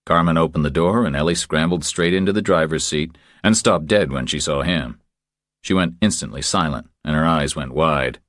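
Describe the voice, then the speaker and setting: A man narrates a thriller audiobook in an extremely deep voice. The recording is dry and close, with no room sound around the scripted reading. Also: moderate pace, fairly bright tone, no room sound.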